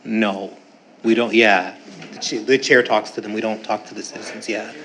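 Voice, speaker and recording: A man chairing a town council meeting, reading motions briskly and joking lightly with the room. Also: lowish voice, steady tone, sharp tone, slight background noise, rough recording. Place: council chamber.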